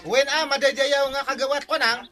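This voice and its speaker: A man's voice: very high-pitched, steady tone, normal speed, bright, forceful tone. An actor playing an earnest village man leading a clean-up crew in an Ilocano radio comedy, emphatic, with an Ilocano accent. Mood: fawning.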